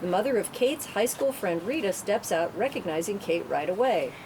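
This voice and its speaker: A woman's voice: mid-range voice, animated, moderate pace. A woman reading stage directions as narrator at a script table read, in a matter-of-fact way. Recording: clearly noisy room recording.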